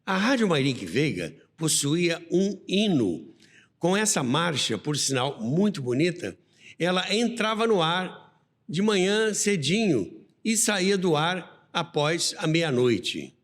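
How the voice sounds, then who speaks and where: Slow, animated Brazilian man with long reverb. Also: on the high side. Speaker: podcast host and narrator. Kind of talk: scripted radio history narration with storytelling asides. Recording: long reverberant hall sound.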